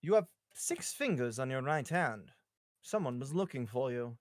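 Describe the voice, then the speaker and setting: A woman's voice: a bass voice, very animated, normal speed, darkish tone, dry recording, studio quality, pitched below the performer's own voice. Woman playing a gallant, coolly defiant young hero. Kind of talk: amateur table read of a screenplay.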